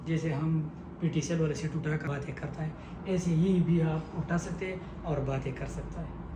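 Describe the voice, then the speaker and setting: Man teaching, echoey noisy recording. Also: a little high. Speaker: technical instructor. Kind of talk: step-by-step tutorial explanation. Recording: echoey room with clear background noise.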